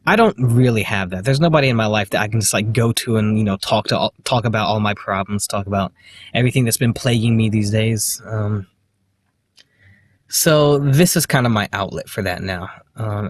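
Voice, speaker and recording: Halting man with a slight lisp. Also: fairly low pitch, a little hiss or hum. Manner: halting, hesitant, many fillers and restarts; quiet, self-deprecating. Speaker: vlogger. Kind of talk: casual self-recorded monologue.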